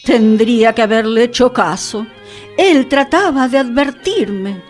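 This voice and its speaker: A woman's voice: fairly high pitch, a little slow, a little mellow, faint music behind, close to an everyday voice. An actress playing a curious regal lady of enchantment in a radio play, theatrical and questioning. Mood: wistful.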